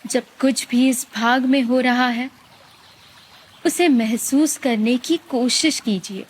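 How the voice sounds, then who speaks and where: Female meditation guide. Slightly high register, markedly unhurried, calm and gently instructive with lots of space between phrases. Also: slightly rough.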